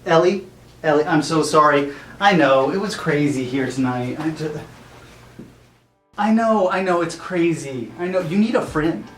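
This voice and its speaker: A man's voice: on the high side, expressive, unhurried, echoey room, soft background music, voice pushed up. A man playing a fussy, melodramatic friend who gushes repetitive reassurance in a comic improvised skit. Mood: consoling. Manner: gushing, repetitive, cooing reassurance. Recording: noisy humming home recording.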